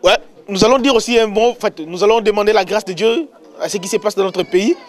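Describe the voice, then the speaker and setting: A man hosting a religious interview in a halting, repetitive way. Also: high-pitched. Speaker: religious show host.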